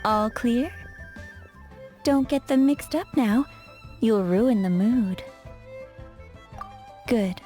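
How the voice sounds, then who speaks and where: A flirtatious perfumer, high mellow voice. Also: female voice, very slow, pauses often, faint music behind. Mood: poised, instructive. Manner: coquettish, instructive, poised. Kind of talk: English video game voice acting.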